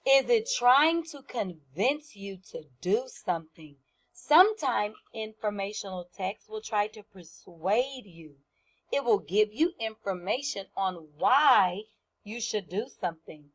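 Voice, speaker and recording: A woman's voice: medium pitch, a bit bright, rough recording. A woman teacher giving a lesson to young children, explaining simply, repeating points and asking questions. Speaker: first-grade teacher.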